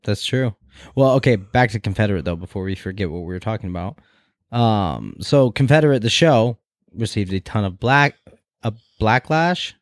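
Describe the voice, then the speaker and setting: A man's voice: fairly low pitch, animated, normal speed, no echo. Man podcasting in a dry close recording. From New York City. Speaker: podcast co-host. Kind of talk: casual chat.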